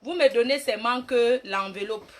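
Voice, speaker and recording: A woman's voice: fairly high pitch, moderate pace, close to an everyday voice. A woman playing a brash, boastful self-styled queen mother in a rambling comic monologue. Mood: bossy. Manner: bossy, rambling, mock-grand, trash-talking. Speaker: comic online personality. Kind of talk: comic live-stream monologue.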